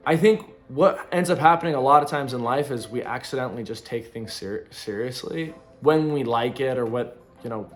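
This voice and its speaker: Man, 30s, vlogger, casual reflective monologue, rambling delivery, faint background music. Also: medium pitch. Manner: rambling, loose, full of ums and likes. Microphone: camera in front of him.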